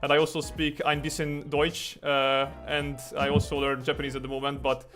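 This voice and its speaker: A man's voice: on the high side, completely flat delivery, normal speed, fairly bright tone, soft background music. Hungarian man chatting on a stream. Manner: rambling, many fillers and restarts. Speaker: streamer and artist. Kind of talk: casual livestream chat.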